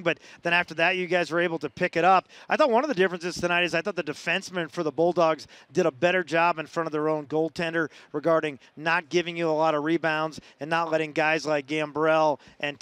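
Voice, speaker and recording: A male sports radio host with a fairly high, very clean and smooth voice, conducting a post-game interview. He builds long questions haltingly, piece by piece. The sound is close and dry. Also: fairly bright tone, no echo.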